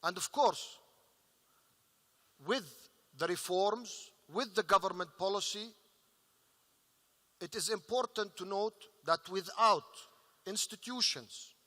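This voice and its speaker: A man speaking as an investor on a panel, earnest and halting, with many fillers. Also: high voice, bright, forceful tone. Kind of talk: panel discussion remarks. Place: large conference hall. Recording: reverberant conference hall.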